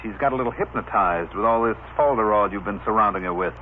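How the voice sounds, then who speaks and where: A man's voice: on the low side, fast talker, narrow, tinny audio, natural voice. A man playing a sneering, slangy small-time grifter making threats in an old-time radio soap opera. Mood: demanding. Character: insolent, wormy small-time grifter, a chiseling brother. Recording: thin, noisy old radio recording.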